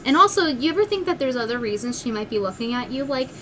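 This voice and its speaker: A woman's voice: fairly high pitch, very animated, fast talker, fairly bright tone, slight background noise. Sarcastic woman hosting a call-in show. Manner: sarcastic, teasing and blunt. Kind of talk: mocking comedic phone interview.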